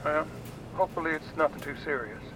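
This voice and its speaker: A man's voice: a little high, a little fast, crowd noise, close to an everyday voice. An actor playing a folksy, evasive and dismissive male freight boss in an audio drama, heard over a distant call. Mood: wary, awkward. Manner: folksy, noncommittal. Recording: distant phone call, faint ambient noise.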